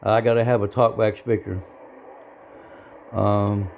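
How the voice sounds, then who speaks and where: A man giving an informal hands-on tutorial in a halting, plain-spoken, self-deprecating way, recorded indoors on a handheld device. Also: on the low side, level tone, narrow, tinny audio. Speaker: hobbyist video tutorial presenter. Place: indoors at a home radio bench. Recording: clear background noise, room ambience.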